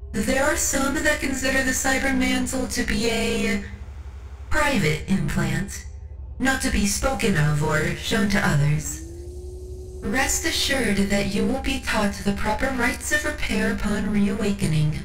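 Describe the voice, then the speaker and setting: A woman voicing a solemn, devout cyborg tech priest surgeon in a formal, reassuring ASMR roleplay. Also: medium pitch. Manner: slow, formal, ceremonial, reassuring. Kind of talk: ASMR roleplay, scripted monologue. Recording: roomy echo, distant, music behind.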